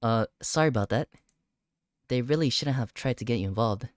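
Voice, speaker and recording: A woman voicing a mild, apologetic teenage club president from a visual novel, gentle and self-deprecating. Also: very deep voice, brisk, dry recording, clean studio sound. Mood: apologetic, soft. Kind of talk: acted reading of game dialogue.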